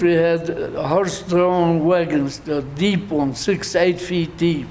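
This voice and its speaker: Slow-spoken older man from Poland. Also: sits high, a little mellow, slight background noise, rough recording. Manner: slow, halting, with restarts and repeated phrases. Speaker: speaker telling his life story. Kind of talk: unscripted testimony to an audience.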